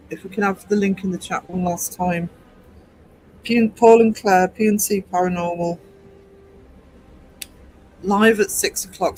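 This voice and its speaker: A man's voice: high voice, low-quality recording. A man in his sixties hosting a livestream, chatting casually and reading viewer comments aloud, teasing and laughing often. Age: 60s. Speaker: livestream host.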